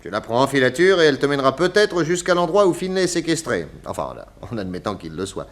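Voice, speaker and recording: An actor playing a brisk, courteous and wry detective boss in a 1960s French radio drama, switching between probing questions and orders. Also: medium pitch, very animated, close to an everyday voice. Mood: brisk, commanding.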